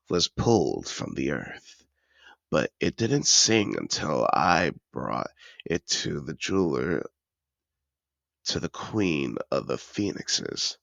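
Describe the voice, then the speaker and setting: A suave, possessive dragon in human disguise, played by a man, speaks in a deep, heavily gravelled voice. He is courtly and seductive, lingering slowly over his words like a collector over treasure in a fantasy audio roleplay. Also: fairly bright tone, no echo, heavily acted. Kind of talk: romantic fantasy audio roleplay, addressed to the listener.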